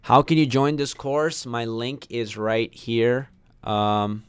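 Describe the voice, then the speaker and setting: A young man who grew up in Pakistan chats casually through a livestream mic, greeting viewers by name and answering their questions as they come in. His voice is clean and smooth, sitting at a middle pitch for a man. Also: takes it slowly. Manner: chatty, reads viewer names and questions aloud. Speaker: online course instructor.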